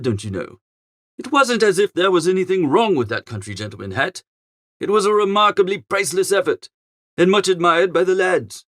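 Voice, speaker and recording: A man narrates a storybook as a flustered young gentleman of leisure. His voice sits high and swoops about with lively inflection. He sounds posh and chatty, given to grumbling exclamations. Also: no echo, studio quality. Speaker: audiobook narrator. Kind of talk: animated first-person storybook narration in character.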